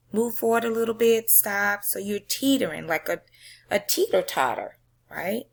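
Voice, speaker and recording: A woman's voice: fairly high pitch, creaky. A woman teacher explaining a poem to her students, often checking in with "okay?" and "right?". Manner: reads the poem slowly with drawn-out emphasis. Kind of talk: poetry reading and classroom lesson.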